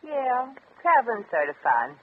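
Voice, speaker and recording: Worldly, wisecracking female drifter, breezy, animated delivery, for 1950s radio comedy with thin, phone-like sound. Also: on the high side, a little slow. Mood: breezy, boastful. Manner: flip, streetwise, casual. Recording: crackly, tinny old radio recording.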